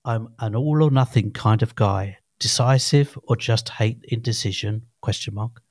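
Chatty low-voiced man in his fifties. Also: moderate pace, darkish tone, no echo, clean studio sound. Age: 50s. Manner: rambling, chatty, restarts often. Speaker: podcast co-host. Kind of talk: casual chat.

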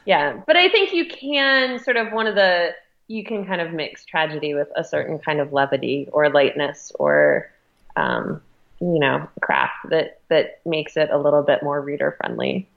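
Female interviewee, editor type, lowish pitch, informal and thinking aloud, slightly dull lo-fi sound. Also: sing-song, moderate pace, gravelly. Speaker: magazine editor being interviewed. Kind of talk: casual interview conversation.

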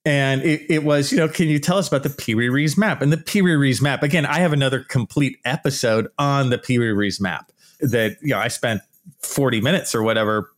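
Loud, animated man ranting casually. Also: medium pitch. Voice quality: naturally loud, shouty voice. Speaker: archaeology YouTuber. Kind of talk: casual vlog commentary.

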